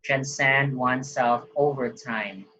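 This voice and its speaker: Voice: mid-range voice, slow pace, sharp tone, a little hiss or hum. A host casually reacting to a video and talking to viewers in a chatty, upbeat way.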